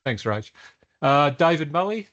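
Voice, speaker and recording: Aussie bloke running a work meeting, casual, lots of ums, room sound. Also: male voice, fairly high pitch, measured pace, muffled recording. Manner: relaxed, loose with fillers. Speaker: meeting facilitator. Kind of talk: workshop introductions.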